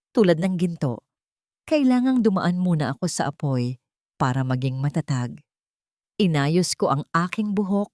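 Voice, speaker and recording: A woman's voice: low-pitched, a little mellow, dry recording, clean studio sound. A woman reading a scripted first-person story in a dramatic narrating style.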